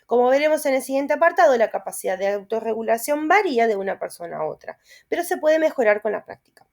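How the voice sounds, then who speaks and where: Young Argentine woman, Rioplatense accent, higher voice, reads class notes and checks in with listeners. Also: animated, fast talker, a little mellow. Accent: Rioplatense Spanish. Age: young adult. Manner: explanatory, with tag questions and self-corrections. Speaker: student teacher. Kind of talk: reading lecture notes aloud with asides.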